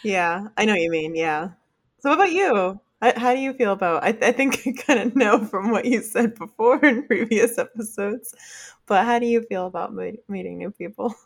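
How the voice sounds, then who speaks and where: A woman's voice: medium pitch, animated, moderate pace, gravelly. A woman co-hosting a podcast in casual chat, reacting with easy laughter and asking her partner questions. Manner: reactive and giggly, asks questions. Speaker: podcast co-host.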